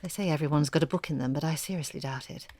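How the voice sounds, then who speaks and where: A woman's voice: low voice, very fast, mellow, no room sound. A hesitant, modest woman writer. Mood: diffident. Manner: diffident and hedging. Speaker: writer character. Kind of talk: audio drama acting.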